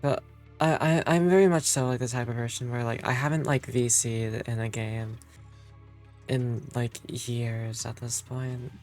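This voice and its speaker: A man's voice: medium pitch, fairly even intonation, a little slow, a little mellow. A man live streaming a game and chatting casually with viewers in a hesitant, rambling way. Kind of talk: casual chat with viewers while gaming. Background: game music.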